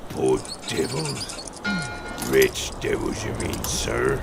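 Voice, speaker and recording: An actor playing a gruff, indignant first mate on a fishing boat in an old-time radio drama. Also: male voice, medium pitch, big pitch swings, drawn-out words, music in the background, exaggerated voice. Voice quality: gravelly.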